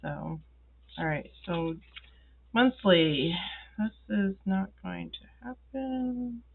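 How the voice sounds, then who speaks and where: Slow-talking congested woman, tinny sound. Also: medium pitch, gravelly, slightly rough. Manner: slow, rambling, many ums and pauses. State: congested, feeling ill. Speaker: planner vlogger. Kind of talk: casual talk while filling in a planner. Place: indoors at a wooden desk.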